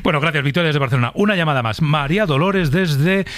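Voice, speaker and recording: A man's voice: a little high, fast talker, fairly bright tone. A man with an accent from Spain, hosting a radio phone-in in a playful, chatty, bantering way. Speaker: radio host. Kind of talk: lively casual phone-in chat.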